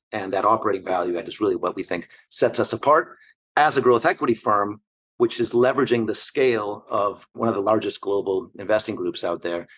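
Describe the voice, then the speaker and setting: A man in his forties from Argentina, answering interview questions as an investor in long, measured, structured lists. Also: lowish voice, a bit bright, slightly rough, low-quality recording. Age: 40s. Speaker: investor guest.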